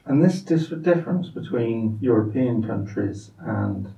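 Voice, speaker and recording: A man interviewing in an academic style, phrasing his questions carefully and haltingly, heard distant and slightly muffled in an echoey room. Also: mid-range voice, animated, vocal fry, dark tone, soft background music. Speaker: interviewer, university professor.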